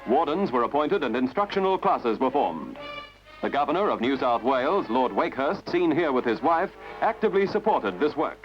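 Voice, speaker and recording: Male narrator, for documentary newsreel: animated intonation, dramatic and measured, dull archival soundtrack under prominent music. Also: mid-range voice.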